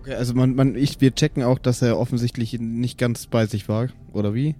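Mid-pitched man playing tabletop games. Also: fairly even intonation, fairly quick, dark tone. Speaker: tabletop game player. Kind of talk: casual improvised role-play banter. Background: background music.

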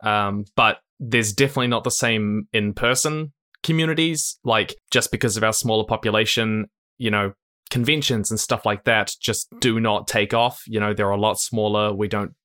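Young adult male interview guest, New Zealand accent, lowish pitch, bright forward tone, informal and chatty. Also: moderate pace. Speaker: interview guest, online video creator. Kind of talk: casual conversational interview answers.

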